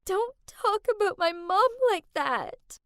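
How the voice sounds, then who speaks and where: A flustered young woman who stammers and goes tearful, as a narrator puts her on. Her voice jumps up very high and swoops, and the sound is close and dry. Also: expressive, takes it slowly, fairly bright tone, no echo, full character voice.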